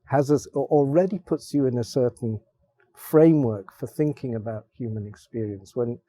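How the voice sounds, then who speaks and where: A man's voice: medium pitch, moderate pace, mellow. A man on a discussion panel, explaining ideas thoughtfully with frequent restarts. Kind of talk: roundtable discussion. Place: theater stage panel.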